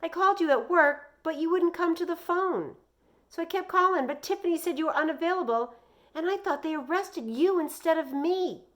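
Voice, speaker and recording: A wound-up young boy played by a woman reading a storybook aloud, pitched well up and pushed loud. He blurts out his grievances insistently, one tumbling into the next. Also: moderate pace. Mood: upset. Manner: shouting, insistent, breathless run-on complaints.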